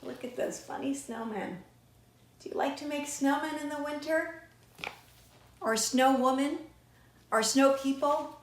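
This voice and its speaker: High-voiced woman reading a storybook slowly. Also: slight background noise. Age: middle-aged. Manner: deliberate, child-directed. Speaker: children's author reading aloud. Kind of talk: expressive storytime reading with questions to young listeners. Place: small indoor room with shelves. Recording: roomy indoor echo.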